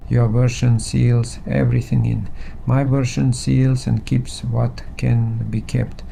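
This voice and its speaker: Actor playing a gravelly, dark-voiced, level engineer. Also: male voice, medium pitch, little pitch movement, slow pace, faint music behind, low-quality recording. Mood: controlled, resolute. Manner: clipped, level, procedural. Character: male engineer, terse, procedural, steady under pressure. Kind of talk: audio drama acting.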